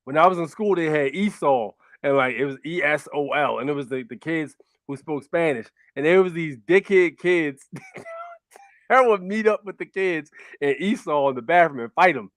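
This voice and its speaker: A man's voice: on the high side, expressive, normal speed, dry recording. A man hosting a casual chat, laid-back and slangy, swearing freely. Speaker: host.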